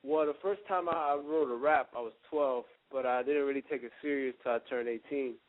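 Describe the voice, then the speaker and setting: A man's voice: medium pitch, brisk, dry recording. A young man from the Dominican Republic talks casually as a rapper being interviewed. His mid-range voice comes down a thin, tinny phone line with a very dry sound. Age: early twenties.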